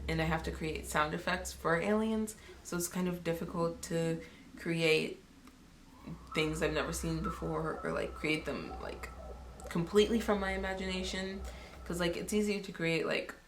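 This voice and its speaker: A teenage female student sound designer giving a casual, halting interview answer peppered with filler words. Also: lowish voice. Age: teens. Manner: hesitant, frequent "like". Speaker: student sound effects creator. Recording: distant mic, faint noise.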